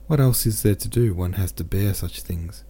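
A man with a deep voice reading the lines of a sad, gentle young merchant from a novel. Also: normal speed, slightly rough. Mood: heavy-hearted. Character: young male merchant, heavy-hearted and gentle.

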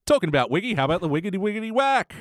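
A man's voice: high-pitched, big pitch swings, very fast, fairly bright tone, dry recording, clean studio sound. A man hosting a casual comedic review podcast, joking and swearing freely. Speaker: podcast host. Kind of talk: casual comedic chat reviewing a cartoon episode.

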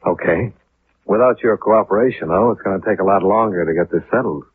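An actor playing a tough, skeptical American insurance investigator in a 1950s radio drama, with a dry, clipped, probing delivery. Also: male voice, deep voice, fairly quick, husky, no room sound. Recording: tinny, muffled 1950s radio recording.

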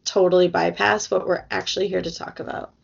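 A woman's voice: lowish voice, little pitch movement, brisk, vocal fry, breathy edge, dull audio. A young adult woman co-hosting a casual book podcast, rambling with fillers and restarts as she loses her voice. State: losing her voice. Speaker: podcast co-host.

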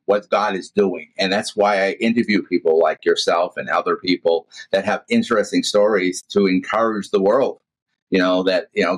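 A man with a deep baritone voice hosting a podcast interview, talking in a rambling way with many restarts. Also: slightly rough, low-quality recording. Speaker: podcast host. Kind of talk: casual interview chat.

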